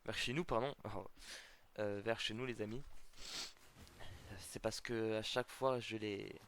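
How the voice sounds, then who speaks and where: A man with a somewhat low voice and a slight cold talks viewers through his game, chatty and halting, with plenty of fillers. Faint mouse and keyboard clicks sit under his voice. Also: steady tone, fairly bright tone, slight background noise. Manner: chatty, addresses viewers as friends, hesitant with many fillers. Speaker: gameplay video host. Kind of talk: casual let's-play commentary to viewers.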